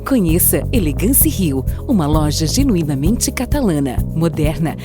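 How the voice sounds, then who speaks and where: A woman's voice: deep voice, big pitch swings, normal speed. A woman with a Brazilian accent giving a polished commercial read. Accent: Brazilian Portuguese. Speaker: ad announcer. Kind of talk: commercial voiceover. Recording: voiceover under loud music.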